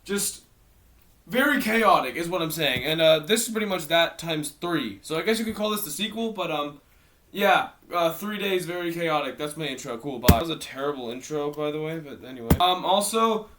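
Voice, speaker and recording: A man's voice: a little high, expressive, moderate pace, fairly bright tone, recorded from a distance, slight background noise. A teenage boy from Maryland with a worn-out, tired voice, rambling through a casual storytime vlog with self-mocking asides. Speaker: YouTuber.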